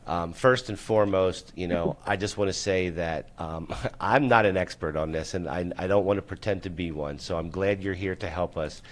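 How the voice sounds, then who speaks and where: Low-voiced rambling male talk show host. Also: normal speed, few pauses. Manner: loose, rambling, swears, lots of fillers. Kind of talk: casual live-stream chat.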